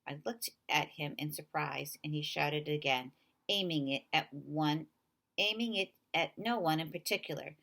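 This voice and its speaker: A woman narrating a children's novel in an expressive read-aloud style. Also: low voice, normal speed, creaky.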